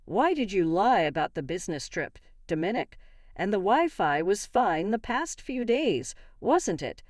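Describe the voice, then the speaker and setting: Woman acting an indignant wronged wife, lively intonation. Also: medium pitch, unhurried, no room sound, studio quality. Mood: accusing, hurt. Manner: argumentative, emphatic. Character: wronged, indignant young wife confronting her in-laws. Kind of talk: acted dialogue in a narrated story.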